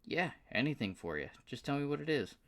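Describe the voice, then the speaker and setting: A man's voice: mid-range voice, big pitch swings, brisk, voice pushed up. A man voicing an eager, folksy male scientist with a Southern US drawl, pouting when he can't help. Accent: Southern US, put on.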